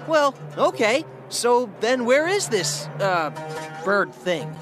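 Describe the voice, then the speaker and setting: A brash young hedgehog hero from a video game, with a high voice that swoops up and down. He talks in a cocky, wisecracking way over game music. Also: big pitch swings, slow, full character voice. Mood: breezy. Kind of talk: video game cutscene voice acting.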